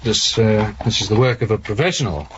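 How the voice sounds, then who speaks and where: A man acting in a 1970s radio drama as a senior West German police officer, dry, unhurried and authoritative. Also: lowish voice, fairly bright tone, close to an everyday voice. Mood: dry, businesslike. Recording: dull, noisy old radio recording.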